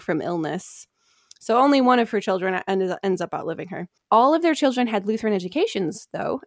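A woman's voice: medium pitch, dry recording, clean studio sound. A woman hosting a podcast, telling history from prepared notes in an informal, explanatory way with casual asides.

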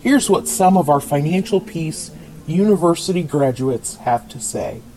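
A man playing an earnest, deliberate announcer in a parody commercial for a debt program, reading in a deadpan way. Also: on the high side, lightly acted, poor audio. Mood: salesy, deadpan. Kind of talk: scripted parody commercial narration.

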